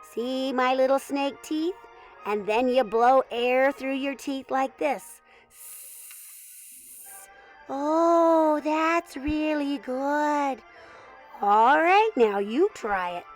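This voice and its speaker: A woman voicing a kind, patient snake who teaches in a coaxing, unhurried way. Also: high voice, faint music behind, voice pushed up. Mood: kindly.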